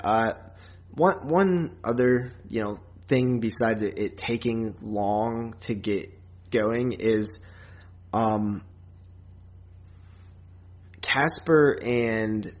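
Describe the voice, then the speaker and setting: A man's voice: lowish voice, extremely slow, pauses often. A man co-hosting a podcast, casually reviewing a film in a rambling, loose way with plenty of filler and some swearing. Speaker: podcast co-host.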